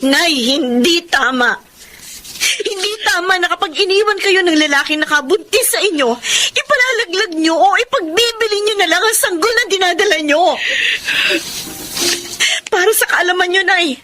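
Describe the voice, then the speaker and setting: A woman's voice: extremely high pitch, lively intonation, moderate pace, bright, forceful tone, full character voice. A woman playing a long-suffering, scolding daughter, earnest and emotional, in a Tagalog radio drama. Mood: tearful, reproachful.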